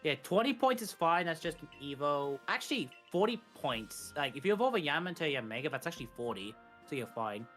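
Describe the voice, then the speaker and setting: A man's voice: on the high side, sing-song, fast, few pauses, fairly bright tone. Man co-commentating a game over music. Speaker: speedrun co-commentator. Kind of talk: casual gaming commentary. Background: video game music.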